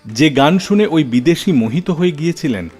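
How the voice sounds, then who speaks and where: A man hosting a radio show, telling scripted stories dramatically with deliberate pauses. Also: fairly high pitch, expressive, a little mellow. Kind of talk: scripted storytelling radio narration. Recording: long reverb, music bed behind. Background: music.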